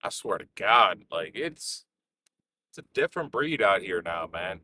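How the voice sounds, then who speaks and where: A man talks casually from the cab of his semi as he drives, congested with a sore throat. His voice sits a bit low but sounds sharp and bright, and he swears at slow drivers. A faint noise hums behind him. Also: big pitch swings. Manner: cursing at other drivers. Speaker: trucker vlog host. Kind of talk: casual chat while driving. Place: inside a semi truck cab on the road.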